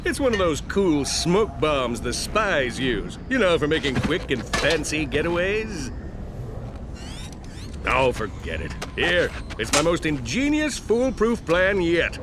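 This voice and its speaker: Boastful, animated cartoonish space hero. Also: male voice, fairly high pitch, slow pace, noisy background. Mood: self-important. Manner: self-important, hammy. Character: boastful, goofy, vain male space-hero sidekick schemer.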